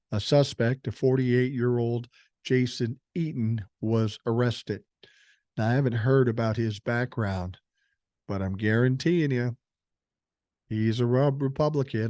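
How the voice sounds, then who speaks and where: A man's voice: medium pitch, a little mellow, dry recording, clean studio sound. Blunt, profane older man. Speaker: podcast host. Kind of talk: political commentary, reading news aloud.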